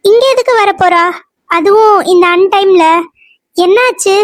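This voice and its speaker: A woman's voice: very high voice, a little slow, dry recording. A woman playing an anxious young married woman who takes charge, speaking earnestly in an audio drama. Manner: insistent, earnest.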